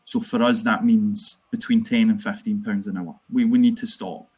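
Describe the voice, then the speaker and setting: Glaswegian male union organiser, fairly low voice, earnest campaigning delivery. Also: steady tone, darkish tone, slightly rough. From Scotland. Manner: earnest, campaigning, quick asides. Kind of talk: online panel talk.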